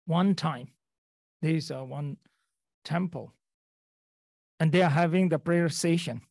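A man's voice: fairly high pitch, creaky, a little mellow, no room sound, studio quality. A man from Nepal teaching a dharma class over a video call, often restarting phrases and checking in with the listeners. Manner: halting, repeats phrases, checks in with "okay?". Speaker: Buddhist teacher.

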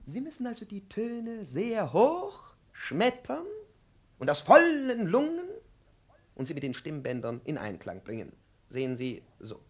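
An actor playing a pompous, excitable, domineering professor lecturing a pupil in a 1950s radio play, pedantic and declamatory. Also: male voice, fairly high pitch, full character voice. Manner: pedantic, declamatory, swinging from hushed to shouting.

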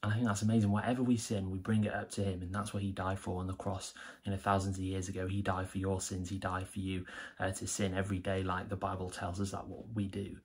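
Earnest young man, husky and gravelly. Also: sits low, completely flat delivery, fast talker, a little mellow. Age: young adult. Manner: earnest and enthusiastic. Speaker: church member giving a devotional. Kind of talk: informal devotional talk to camera. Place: small plain indoor room.

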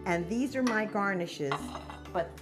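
A woman's voice: medium pitch, a little slow, music in the background. A woman in her sixties, a chef, demonstrating a breakfast recipe step by step in a home kitchen on a lapel mic. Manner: instructive, steady walkthrough of steps. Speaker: cooking segment chef. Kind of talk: cooking demonstration.

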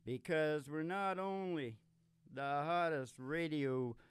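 High-voiced older man, folksy and rambling. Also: slow, smooth, dry recording. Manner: rambling, playful, theatrical. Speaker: host and country singer. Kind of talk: folksy comic radio-show patter. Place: small curtained stage, indoors. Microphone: stand microphone. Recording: live stage, acoustic guitar behind. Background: acoustic guitar.

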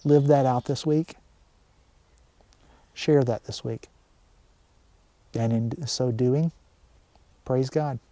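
Middle-aged guy pastor, really mellow dark voice, slow, dry sound, short sermon. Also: medium pitch, steady tone, dry recording. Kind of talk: gentle short sermon with pauses. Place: outdoors in a pine wood.

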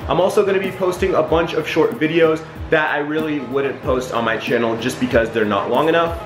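A man's voice: a little high, fairly quick. A young man hosting a casual cooking vlog in his home kitchen, chatting upbeat and directly to viewers. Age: young adult. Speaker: fitness vlogger, host. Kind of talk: casual cooking demo to camera. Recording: roomy kitchen echo with background music.

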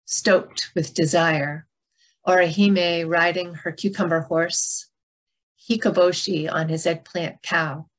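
A woman's voice: lowish voice, poor audio. An older woman reading a poem aloud as a recitation. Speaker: poet reading own poem. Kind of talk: poetry recitation.

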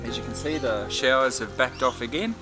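Middle-aged Australian male vlogger, mid-pitched, informal outdoor chat over clear background music. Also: brisk. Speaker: aviation vlogger. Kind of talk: casual vlog chat. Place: outdoors in a backyard.

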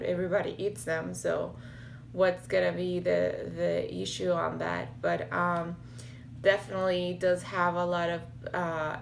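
A woman in her thirties talking to the camera in a casual home vlog, slightly hoarse and raspy, with many fillers and restarts. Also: fairly low pitch, a little hiss or hum. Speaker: health vlogger. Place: small home office with bookshelves, indoors. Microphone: webcam or laptop camera at desk.

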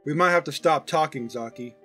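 A man's voice: a little high, fairly quick, fairly bright tone, breathy edge, faint music behind. A man voicing an affectionate, proud, teasing old grandfather in a fond, folksy, chatty manner for a story reading. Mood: fond, advising.

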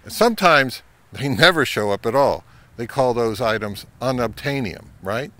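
A middle-aged man hosting an advice vlog, speaking to camera in an emphatic way with deliberate pauses. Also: mid-range voice, expressive, pushed and bright. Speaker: host of an audio gear channel. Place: home listening room, later an open grassy field.

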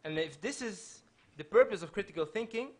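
Man, 30s, from Belgium, Dutch native, fairly high voice, husky, earnest measured lecture, table mic. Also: expressive. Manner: earnest, measured, with repeated restarts. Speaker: panelist speaker. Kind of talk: public lecture. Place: lecture hall with audience. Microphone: table microphone.

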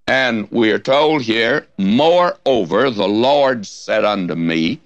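An older male radio Bible teacher with a hoarse, gravelly voice, talking slowly and deliberately. His tone is a little low, and the recording is close and dry. He leans hard on key words in a folksy way. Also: fairly bright tone, no echo. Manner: slow, folksy, emphatic, rising on key words. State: hoarse, scratchy voice.

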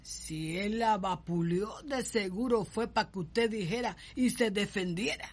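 An actress playing a blunt, probing servant woman with a Cuban accent and husky voice in a radio soap opera. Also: mid-range voice, measured pace. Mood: insistent. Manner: pointed questioning in folksy speech. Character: blunt, probing servant woman confronting her young mistress.

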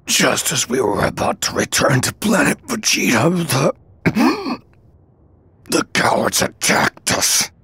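Gasping, gravelly dying alien warrior. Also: male voice, a little high, very animated, pushed and bright, dry recording, heavily acted. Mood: pained. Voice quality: raspy, strained. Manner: halting, broken phrases. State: gasping, coughing, straining to speak. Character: badly wounded, loyal male alien warrior, near death. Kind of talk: dramatic dubbed anime game acting. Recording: music under the voice. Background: music.

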